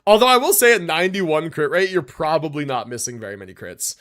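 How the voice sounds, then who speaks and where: A man hosting a livestream, casually reviewing game builds in relaxed, slangy talk as he thinks aloud. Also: fairly high pitch, fast. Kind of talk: casual gaming livestream commentary.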